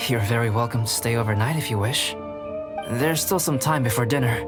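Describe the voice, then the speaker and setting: A man playing a thoughtful young alchemist in a video game, composed, gentle and polite. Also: mid-range voice, brisk, over loud music, close to an everyday voice. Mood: calm, hospitable. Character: calm, courteous, thoughtful young male alchemist. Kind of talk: video game character acting.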